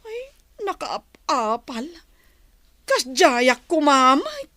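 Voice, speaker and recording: A woman's voice: high-pitched, big pitch swings, drawn-out words, bright, forceful tone, no echo, full character voice. A woman acting an envious, nosy, disbelieving gossip in a radio drama. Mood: envious, whining.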